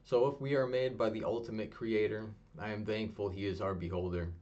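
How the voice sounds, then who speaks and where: A young man with a fairly low voice reads his own poetry aloud, steady and low-key, close to monotone. The sound is a little dull and lo-fi, like a simple home recording. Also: brisk. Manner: low-key, even, self-described monotonous delivery. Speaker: poet reading his own work. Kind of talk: spoken word poetry reading.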